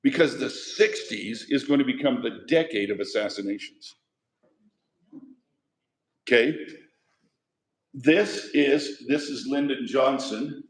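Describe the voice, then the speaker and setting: A man's voice: mid-range voice, slow pace, long pauses. A man teaching a history class, lecturing in a conversational way and putting questions to his students. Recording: echoey classroom.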